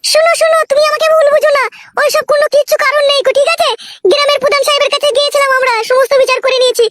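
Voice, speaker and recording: Woman as pleading, extremely shrill-pitched cartoon wife. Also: flat delivery, rapid-fire, fairly bright tone, slight background noise. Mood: reassuring. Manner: pleading, submissive, insistent. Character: devoted, pleading, long-suffering first wife. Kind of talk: comedy cartoon melodrama.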